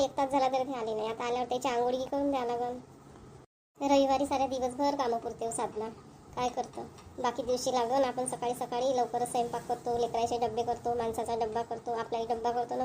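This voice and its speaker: Village mother for cartoon comedy female performer: high voice, homely gossiping manner, Varhadi Marathi accent, slightly noisy recording. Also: flat delivery, quick pace, dark tone, close to an everyday voice. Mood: neighbourly. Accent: Varhadi Marathi of Vidarbha. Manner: chatty, homely, repetitive phrasing. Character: chatty village housewives and mothers, homely, mildly exasperated. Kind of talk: amateur cartoon comedy dialogue.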